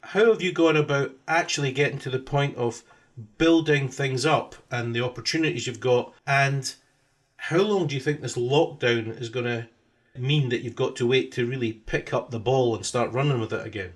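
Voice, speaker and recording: A man hosts a music podcast with a voice of middling pitch. He frames long, winding questions for his guest, building context before getting to the point. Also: moderate pace. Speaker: podcast host. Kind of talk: interviewer questions.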